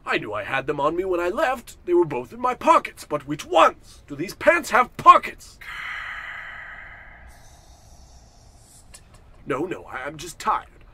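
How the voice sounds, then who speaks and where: A man's voice: high voice, wildly swooping pitch, moderate pace, sharp tone, slight background noise, full character voice. A man playing a pompous, cantankerous, scornful rich businessman in a comedic old-time radio play. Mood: flustered. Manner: pompous, grumbling to himself.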